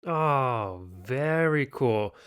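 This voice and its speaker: A man's voice: mid-range voice, animated, very slow, fairly bright tone, clear, resonant tone. A man in his thirties hosting a podcast interview, upbeat and encouraging as he asks structured questions. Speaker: podcast host.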